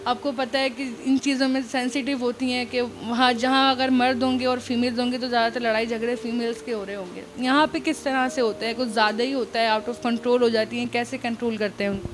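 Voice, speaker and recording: A woman with a Pakistani accent hosting an on-location interview, asking wordy questions sprinkled with some English words. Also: on the high side. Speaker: interviewer and host. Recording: music laid under the voice.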